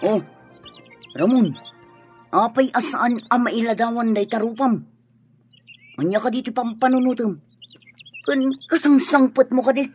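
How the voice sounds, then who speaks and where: Bold outlaw, muffled outdoor scene. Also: male voice, very high-pitched, a little slow, long pauses, darkish tone, soft background music. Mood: probing. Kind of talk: Ilocano radio drama acting. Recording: dull radio recording, faint outdoor noise.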